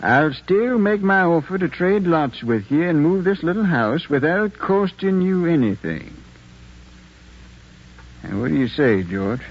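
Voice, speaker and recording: A man playing a patronizing, bullying businessman landlord in a 1950s radio drama, speaking with an American accent in an insistent, deliberate way. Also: on the high side, expressive, breathy edge, heavily acted. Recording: muffled 1950s radio recording.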